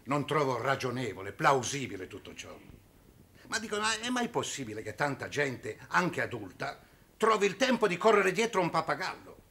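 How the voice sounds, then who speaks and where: An actor playing a pompous, irritable middle-aged landowner in a 1960s television drama, blustering and pedantic. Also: male voice, a little high, big pitch swings, moderate pace, bright, forceful tone, exaggerated voice. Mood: indignant, sceptical. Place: studio set of a furnished room. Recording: noisy old television soundtrack.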